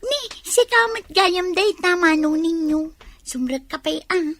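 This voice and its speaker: A woman playing a gentle, dutiful young village daughter in an Ilocano radio drama. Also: very high-pitched, unhurried, a little hiss or hum, full character voice. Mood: surprised, friendly.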